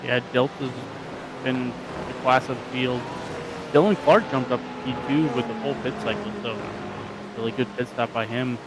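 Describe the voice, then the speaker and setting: A man's voice: mid-range voice, moderate pace, heavy background noise. A man giving casual color commentary on a sim race, loose and full of fillers. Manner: casual, filler-heavy analysis.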